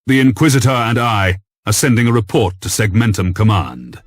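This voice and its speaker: An actor playing a stern, authoritative naval lord admiral in a video game, briefing in a formal military manner. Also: male voice, lowish voice, animated, normal speed, no room sound, studio quality.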